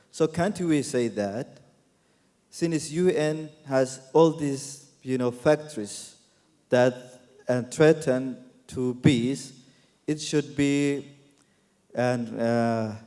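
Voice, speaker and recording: A man with a Somali accent speaks in a soft-edged, mellow tone of middling pitch. He sets out a formal question in short, emphatic, deliberate pieces that he often repeats, and the hall echoes around him. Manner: emphatic, deliberate, repetitive, with short broken phrases. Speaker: audience member asking a question. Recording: echoey hall with long reverb.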